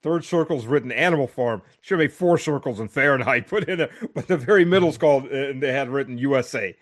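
A man in casual conversation who laughs easily and often stumbles over his words. His voice sits at an ordinary pitch. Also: fast. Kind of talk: casual chat.

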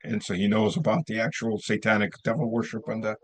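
A man's voice: lowish voice, level tone, quick pace. Guy hosting his interview show on a call, cracking jokes, lots of uhs and ums. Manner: jokey, rambling with many fillers. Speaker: show host.

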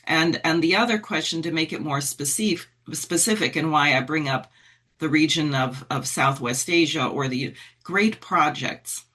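Middle-aged woman, bright husky voice, earnest, thinks out loud with lots of uhs. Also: low-pitched, moderate pace. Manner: earnest, searching, thinks aloud with fillers. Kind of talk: conversational political talk.

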